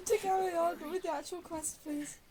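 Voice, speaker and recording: A woman's voice: very high voice, unhurried. A woman YouTuber doing casual game commentary in a giggly, self-mocking way, often muttering to herself. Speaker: gaming YouTuber. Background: game music.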